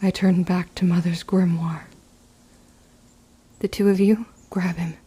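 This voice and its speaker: A woman playing a grim, resolute young witch in an audio drama, measured and solemn in delivery. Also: fairly low pitch, little pitch movement, mellow, breathy edge. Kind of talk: scripted first-person audio drama narration.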